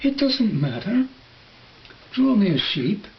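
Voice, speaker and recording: A man voicing a small, gentle, insistent little boy in a storybook, speaking haltingly. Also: sits high, very animated, dull audio, pitched above the performer's own voice, heavily acted.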